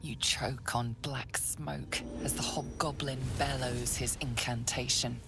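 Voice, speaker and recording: A solemn fantasy game storyteller. Her narration is measured and ominous, in an extremely deep, heavily creaky voice at a slow pace. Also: steady tone, over music, full character voice. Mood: grave, tense. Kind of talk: scripted second-person game narration.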